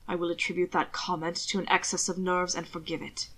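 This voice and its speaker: A woman reading the part of a worried, commanding male aristocrat in a lowered, measured voice. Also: little pitch movement, a bit bright. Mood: stern. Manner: low, measured, stern.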